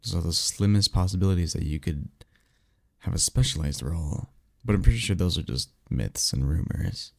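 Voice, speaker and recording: A blunt, sarcastic male vampire mentor played by a man for an audio roleplay. The voice sits low with a crackly fry and moves around expressively. The delivery is casual and exasperated, with conversational hesitations. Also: animated, normal speed, a little mellow, no room sound, studio quality, exaggerated voice. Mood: explanatory, skeptical. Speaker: voice actor. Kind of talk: ASMR roleplay audio drama, one-sided conversation.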